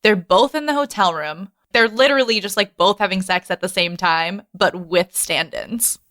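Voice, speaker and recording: A woman's voice: medium pitch, normal speed, a bit bright, no echo, clean studio sound. A woman hosting a podcast, chatting casually about film in an informal, emphatic way, often repeating words for emphasis. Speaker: podcast host. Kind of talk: casual film discussion chat.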